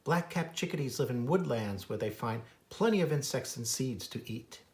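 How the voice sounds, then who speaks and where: A man's voice: medium pitch, expressive, normal speed, a little mellow. An American man reading a children's book aloud as its author and naturalist, adding informal asides about wildlife. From United States. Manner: imitates bird calls in a singsong.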